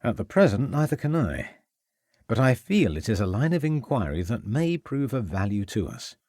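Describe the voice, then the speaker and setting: A man's voice: medium pitch, sing-song, normal speed, a little mellow, no room sound, studio quality. A man voicing an earnest, composed male police inspector who speaks formally.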